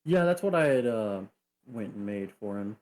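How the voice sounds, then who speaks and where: A man's voice: on the low side, lively intonation, moderate pace, a little mellow. A man playing in a tabletop game, chatting casually with friends online. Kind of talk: casual chat.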